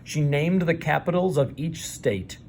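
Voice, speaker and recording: A man narrates a children's storybook at an unhurried pace, with dramatic pauses. His mid-pitched voice rises and falls expressively, and there is noticeable background noise. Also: lively intonation, a little mellow. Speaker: storybook reader.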